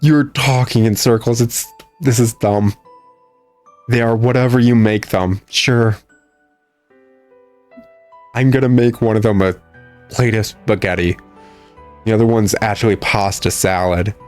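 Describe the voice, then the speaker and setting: A man's voice: fairly low pitch, fairly even intonation, measured pace, long pauses, darkish tone, soft background music. A man giving sarcastic, exasperated gameplay commentary. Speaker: gamer commentating a playthrough. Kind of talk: mocking gameplay commentary, reading game text aloud.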